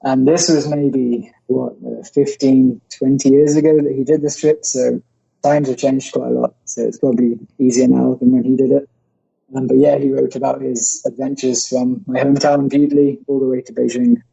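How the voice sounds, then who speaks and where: An English man in his thirties with a West Midlands accent, a guest telling a podcast host about a cycling journey in a relaxed, thoughtful way, over a remote call. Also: mid-range voice, normal speed, creaky, husky. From England. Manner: relaxed, thoughtful, some hesitations. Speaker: guest, cycle tourer. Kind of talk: casual interview talk. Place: remote call while travelling. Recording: muffled lo-fi call audio.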